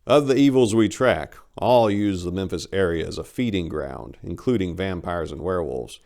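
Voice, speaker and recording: A man's voice: deep voice, lively intonation, normal speed, creaky, a little mellow. A man narrating a first-person comic short story as a dramatic reading.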